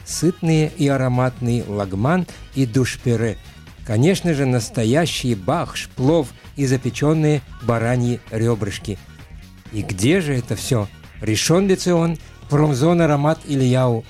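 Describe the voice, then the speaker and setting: Mid-pitched male radio announcer over music. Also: darkish tone. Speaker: radio co-host. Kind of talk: radio announcing, sponsor reads. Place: radio studio. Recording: music playing behind.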